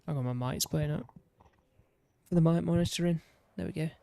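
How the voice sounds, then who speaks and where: A man's voice: a little high, fairly quick, creaky, dark tone, dry recording. A man streaming a game, losing his voice, rambling and interrupting himself as he shows off his own builds. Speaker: gaming streamer. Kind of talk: casual commentary while showing his game builds.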